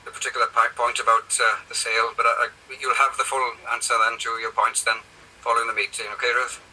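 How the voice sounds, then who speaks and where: Halting older Welsh man chairing. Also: medium pitch, little pitch movement, sharp tone, a little hiss or hum. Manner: hesitant, halting, many fillers. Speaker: mayor chairing council meeting. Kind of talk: chairing a meeting. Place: office, indoors. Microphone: video call. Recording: distant mic on video call.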